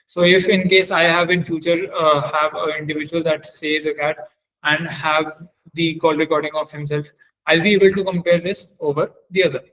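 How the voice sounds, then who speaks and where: A man teaching in a webinar, demonstrating software step by step and often halting to search for words. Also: on the high side, level tone, rough recording. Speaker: webinar trainer.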